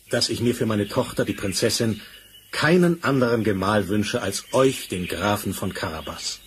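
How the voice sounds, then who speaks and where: Lively, stately fairy-tale king. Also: lowish voice. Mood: solemn, gracious. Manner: stately, declamatory. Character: grand, genial, admiring king. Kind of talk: fairy-tale audio drama acting. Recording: noisy old vinyl transfer.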